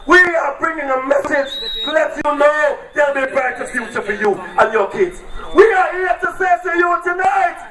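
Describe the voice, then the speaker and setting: A man with an Antiguan accent shouting a political campaign speech at an outdoor rally, hammering repeated rallying cries. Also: very high-pitched, moderate pace, hardly any pauses. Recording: echoey, distant, loud crowd.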